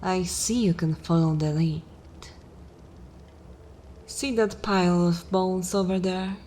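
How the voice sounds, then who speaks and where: A woman voicing a haughty, cruel, centuries-old vampire mistress in a dark fantasy ASMR roleplay, speaking in a formal, commanding and disdainful manner. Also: on the low side, steady tone, slight background noise. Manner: imperious, slow, disdainful, formal wording.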